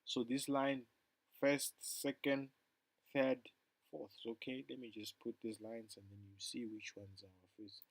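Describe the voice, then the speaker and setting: A man casually talking through a forex chart on a screen recording, rambling and calling his viewers "guys", his loudness swinging from loud to mumbled. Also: medium pitch, little pitch movement, a little slow, dry recording, low-quality recording. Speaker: forex trading tutor.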